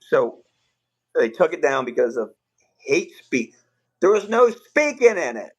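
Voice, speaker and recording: A young man hosting a livestream, telling stories in a relaxed, rambling way with filler words, his voice a bit rough. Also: a little high, a little slow. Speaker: livestream host. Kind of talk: casual livestream chat.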